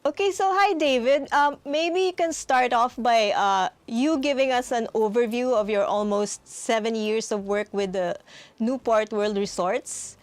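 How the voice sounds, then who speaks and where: Polite woman host, high voice. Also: measured pace, dry recording. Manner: polite, scripted intro then conversational questions. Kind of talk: interview hosting.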